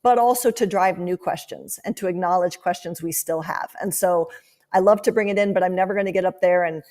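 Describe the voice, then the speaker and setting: A woman's voice: fairly low pitch, darkish tone. A woman giving conversational sports analysis as a studio analyst guest.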